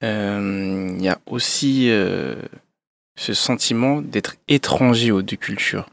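Male radio guest, low and gravelly, laid-back and thoughtful. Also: slow. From France, Gabonese parents. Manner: reflective, relaxed, with fillers. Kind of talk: radio interview. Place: radio studio.

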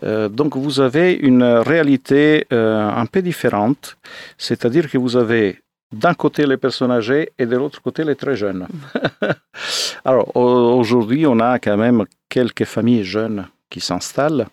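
A man's voice: mid-range voice, unhurried, darkish tone, dry recording, studio quality. A 57-year-old man from Italy speaking as a pastor in a radio interview, often hesitating as he searches for words.

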